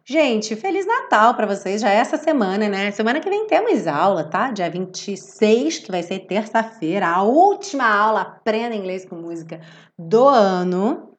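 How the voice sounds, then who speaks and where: A Brazilian woman with a medium-pitched voice, a little hoarse from a cold. She talks informally to viewers as a teacher on a livestream, in an expressive, affectionate and chatty way. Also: normal speed. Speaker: English teacher hosting a live online music-based class.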